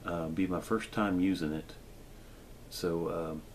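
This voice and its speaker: A middle-aged man with a fairly low voice talks in a relaxed, chatty way and often fills pauses with "uh" and "um." Clear background noise from the outdoors sits under his voice on camera audio. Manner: relaxed, chatty, with frequent fillers. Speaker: gear reviewer. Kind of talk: casual show-and-tell demonstration. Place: indoors at a table, then in a backyard.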